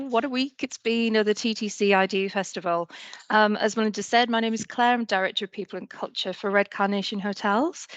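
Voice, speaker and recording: Woman host with a croaky voice, upbeat, dry close sound on a video call. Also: fairly high pitch, dry recording, highs cut off. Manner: upbeat, gushing, hesitant with ums. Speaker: panel moderator, HR director. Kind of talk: moderating a panel.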